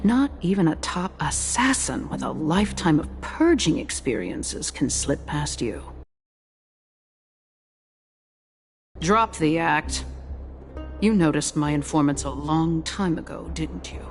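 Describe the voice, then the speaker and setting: A woman voicing a scheming council elder in a video game, smug and formal with mock courtesy and quiet menace. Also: low voice, very animated, a little slow, faint music behind. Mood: smug, probing. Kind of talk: game voice acting.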